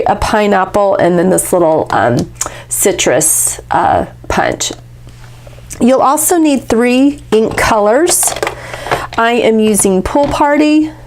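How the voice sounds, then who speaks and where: A woman's voice: medium pitch, background noise. Stuffy-nosed woman demonstrating crafts. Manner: chatty, many fillers. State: congested, stuffy nose. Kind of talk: casual craft tutorial.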